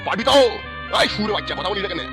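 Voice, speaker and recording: Brief: hot-tempered, foul-mouthed father played by a man, rapid, raised voice over music. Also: high voice, lively intonation. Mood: furious. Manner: shouting, scolding, crude insults. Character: angry middle-aged father, loud and abusive.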